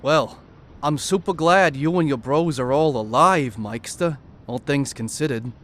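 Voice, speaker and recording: Male actor for supportive laid-back buddy, slangy, somewhat high voice, audio drama. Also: a little slow, a bit bright. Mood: easygoing, supportive. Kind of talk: fan audio drama acting.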